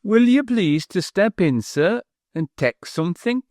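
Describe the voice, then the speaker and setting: A man's voice: high-pitched, animated, measured pace, darkish tone, no echo, clean studio sound, pitched above the performer's own voice. A man voicing a pompous former butler turned innkeeper in a treble, wheezy, slightly mincing voice, deferentially calling everyone sir. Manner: deferential, says sir constantly.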